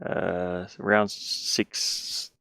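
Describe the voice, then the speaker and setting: A man chatting casually on a game livestream, rambling and thinking aloud in a halting way. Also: deep voice, level tone, creaky, bright, forceful tone, clean tone, dry recording. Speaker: game streamer and indie game developer.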